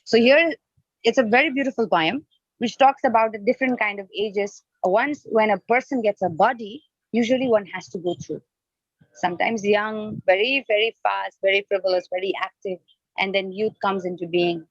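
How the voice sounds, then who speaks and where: A woman's voice: mid-range voice, no room sound. A woman whose native language is Nepali gives an interactive devotional lecture as a spiritual teacher, often posing questions and rephrasing her points. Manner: questioning, explains by rephrasing and repeating. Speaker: spiritual teacher leading an online class. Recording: dull lo-fi online call audio.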